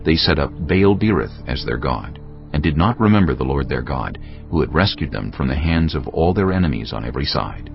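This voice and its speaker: A man's voice: very deep voice, lively intonation, a little fast, creaky. A man narrating scripture from a script in a dramatic storytelling style. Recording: dull audio with music underneath. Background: music.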